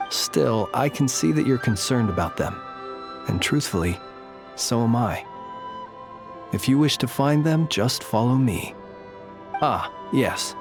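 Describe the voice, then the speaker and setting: A man voicing a calm, courtly lightkeeper in a video game, speaking in formal, measured, old-fashioned phrases. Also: mid-range voice, music in the background. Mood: reassuring.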